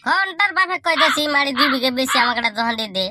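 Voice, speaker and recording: A young village prankster from a comedy cartoon, squeaky and pitched up. He grumbles in a rural Bengali accent, cheeky and full of exaggerated self-pity. Loud music plays under his voice. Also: animated. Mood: whining, aggrieved. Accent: rural regional Bengali. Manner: exaggerated, grumbling, slangy, with drawn-out wails. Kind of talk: comic cartoon monologue.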